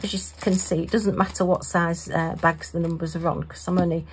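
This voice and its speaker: A middle-aged woman talking casually to herself while crafting, her voice going hoarse. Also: fairly low pitch, steady tone, a little fast, a little hiss or hum, low-quality recording. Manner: chatty, talks to herself. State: losing her voice. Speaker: craft vlogger.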